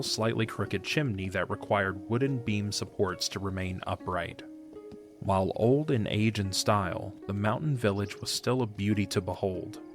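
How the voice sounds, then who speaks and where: A man reading scripted narration for a fantasy audio drama in a measured storytelling manner. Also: fairly low pitch.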